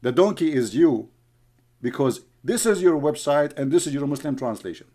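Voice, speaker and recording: Guy ranting, mocking and sneering, kinda high voice. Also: animated, moderate pace, mellow. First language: Arabic. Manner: mocking, scornful, calls names. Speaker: online commentator. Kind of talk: heated argumentative commentary.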